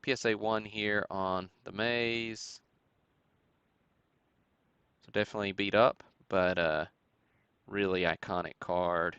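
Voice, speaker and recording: A man hosting a live stream, giving matter-of-fact commentary in a halting way with pauses. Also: on the low side, fairly even intonation, fairly bright tone, clear, resonant tone, no room sound, lo-fi sound. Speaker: host of a sports card break stream. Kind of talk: live show-and-tell commentary on vintage cards.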